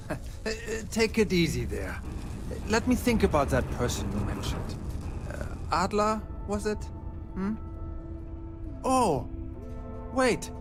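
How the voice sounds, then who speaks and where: An actor playing a weary, evasive space miner in a video game, hesitant and pleading, with music behind him. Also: male voice, high-pitched, huge pitch swings, slow pace, long pauses, exaggerated voice. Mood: nervous, stalling. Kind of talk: video game character dialogue.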